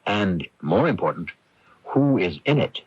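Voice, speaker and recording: A man as host and narrator of a radio drama, introducing the tale in a measured, wry, theatrical way. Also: deep voice, very animated, breathy edge, no echo, exaggerated voice. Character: urbane, wry male storyteller introducing a tale. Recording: dull, noisy old radio broadcast.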